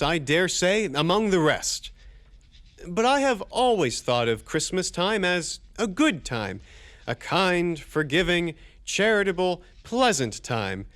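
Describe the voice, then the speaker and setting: Warm-hearted young gentleman, highish voice, animated intonation, earnest, hearty, theatrical audio drama. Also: full character voice. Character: cheerful, kind-hearted young gentleman, miser's warm nephew.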